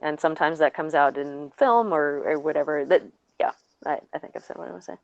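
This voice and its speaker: Woman, fairly low pitch, casual panel talk, explanatory, halting, very dry, somewhat dull audio. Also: creaky, dry recording.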